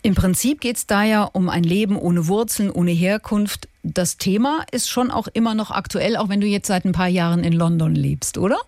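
A woman radio host interviewing a guest, her questions quick and friendly. She speaks at a mid pitch with a dry, close studio sound. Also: a little mellow, no echo. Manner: brisk, friendly questioning, ends with "oder?".

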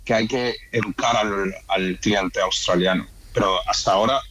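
A man's voice: lowish voice, fairly even intonation, normal speed, sharp tone, poor audio. A man with a Madrid Spanish accent, a restaurant owner being interviewed, talking casually with colloquial question tags and the odd English loanword. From Spain, born in Ecuador and raised in Madrid.

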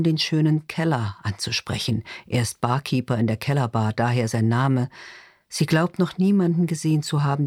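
A man's voice: medium pitch, dry recording, clean studio sound. A man narrating literary fiction in a scripted audiobook reading style.

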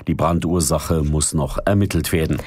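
Very deep-voiced male news reporter. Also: moderate pace, dry recording. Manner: steady, factual reporting. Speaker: radio reporter.

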